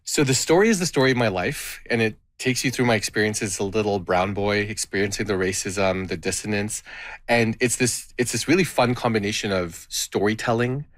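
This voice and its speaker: Man, thirties, Gujarati first language, low voice, reflective, halting, dry close sound. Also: dry recording. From Canada. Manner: reflective, halting with many restarts. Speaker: guest, tabla player and educator. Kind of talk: interview conversation.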